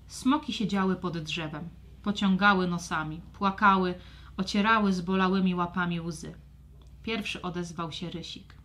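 A woman's voice: on the low side, steady tone, normal speed, a bit bright. A woman narrating a scripted children's story expressively.

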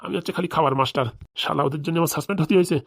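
A man's voice: fairly high pitch, rapid-fire, gravelly, no echo. A corrupt, greedy policeman from a comedy cartoon, acted by a man, rattles along at breakneck speed in a dry, close sound. His rural Bengali speech swings between fawning and boastful. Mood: gloating, vengeful. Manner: obsequious, boastful. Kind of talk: comic cartoon dialogue.

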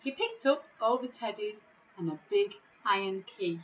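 A middle-aged woman reading a children's storybook in a measured, expressive way, recorded on a device microphone in an office. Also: medium pitch, dull audio, slight background noise. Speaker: school staff member reading a bedtime story. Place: small office, indoors.

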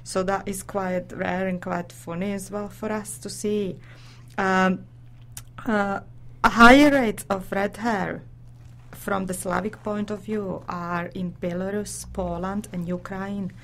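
A woman with a Slovak accent co-hosts a casual radio show. Her voice sits at a middling pitch, and she talks hesitantly, pausing often as she goes. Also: steady tone, slight background noise.

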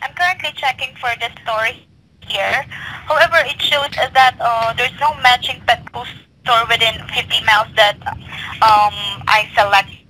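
A woman's voice: a little high, normal speed, pushed and bright. A polite, hesitant woman working as a customer service agent on a noisy telephone line. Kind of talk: scripted customer service call.

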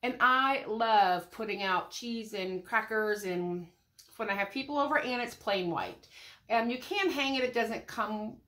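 A middle-aged woman with an American accent talks to camera in a high, bright voice. She sounds a little stuffed up with a cold. Her delivery is casual and chatty, wandering into asides like a home vlogger. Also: measured pace. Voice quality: slightly stuffy, congested. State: has a head cold. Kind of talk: casual chatty shopping haul. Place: small home craft room, indoors.

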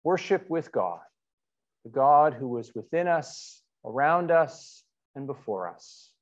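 A middle-aged man, a pastor speaking conversationally and a little haltingly as he leads online church worship. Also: mid-range voice, big pitch swings, highs cut off. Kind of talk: informal church announcements and worship leading.

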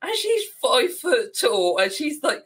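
A man's voice: very high-pitched, big pitch swings, slow pace, fairly bright tone, breathy edge. A young British man co-hosting a podcast in a casual, wordy, opinionated way. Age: young adult. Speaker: podcast co-host. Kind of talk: casual ranting discussion. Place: indoor room at home, on video call.

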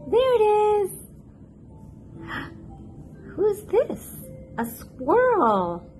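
A woman reading a picture book aloud to toddlers in a playful, expressive, sing-song manner. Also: a little high. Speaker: children's picture book narrator, host.

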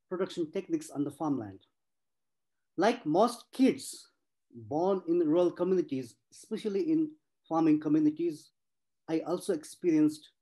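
A man's voice: a little high, dark tone. A man in his forties from Nepal, giving an earnest personal talk as a speaker at an online panel, stressing points through repetition. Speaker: conference speaker.

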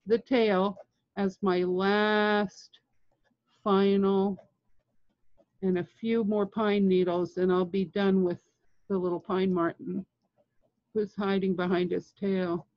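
Woman in her sixties, artist demonstrating technique, slow pace, halting phrases, dull close dry recording. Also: medium pitch, no room sound. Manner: unhurried, halting phrases with long pauses. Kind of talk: informal art tutorial talk. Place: indoors, home studio room with framed art. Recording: dull, lo-fi webcam-style sound.

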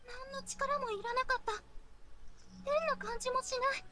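A woman's voice: very high voice, moderate pace, pushed and bright, a little hiss or hum. A woman voicing an earnest, determined young girl in an anime game. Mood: amazed.

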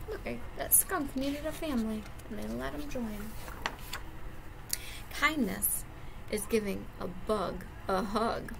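A young woman with a fairly high voice and a strong creak reads a children's picture book aloud like a preschool teacher. She pauses to explain things simply to young listeners. Clear background noise runs through the classroom webcam recording. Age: young adult. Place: indoors, classroom.

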